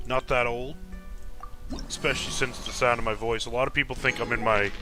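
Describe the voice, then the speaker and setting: A man of twenty-one with a deep voice, chatting casually as he streams a video game. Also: steady tone, brisk. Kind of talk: casual livestream chat while gaming. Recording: game music behind the voice. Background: video game music.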